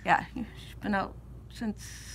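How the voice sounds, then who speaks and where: A woman's voice: slow, slight background noise. A Canadian woman co-hosting a knitting podcast, chatting casually and laughing often as she tells stories. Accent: Western Canadian. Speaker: podcast co-host. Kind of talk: casual chat.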